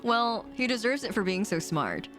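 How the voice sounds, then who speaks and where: A woman's voice: fairly high pitch, sing-song, moderate pace, a bit bright, faint music behind. A woman streamer chatting casually with viewers as she plays a visual novel, in a playful, teasing manner. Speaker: VTuber streamer. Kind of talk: casual livestream chat and visual novel read-aloud.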